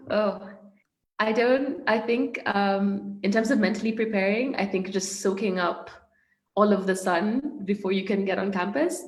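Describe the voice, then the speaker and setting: A young woman, a native Swahili speaker, answering student questions in a friendly, thoughtful way with many pauses. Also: mid-range voice. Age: young adult. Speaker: student panelist. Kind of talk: casual Q&A answers. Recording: echoey room.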